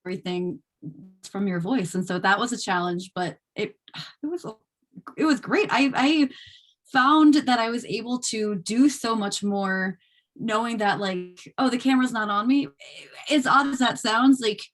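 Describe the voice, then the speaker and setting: A woman's voice: fairly high pitch, measured pace. A woman, an actor, chatting casually in a video call Q&A. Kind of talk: casual Q&A discussion.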